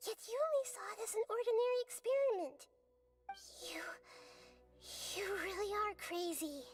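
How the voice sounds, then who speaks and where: A woman voicing a small, childlike goddess of wisdom in a video game, earnest, articulate and gently firm. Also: very high voice. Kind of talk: scripted video game voice acting. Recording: game music under the voice.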